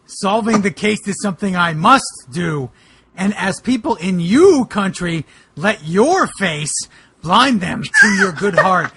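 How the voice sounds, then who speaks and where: A man voicing a solemn, worried wrestler-detective in drawn-out, stilted melodrama. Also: sits high, sing-song, pushed and bright, husky, exaggerated voice.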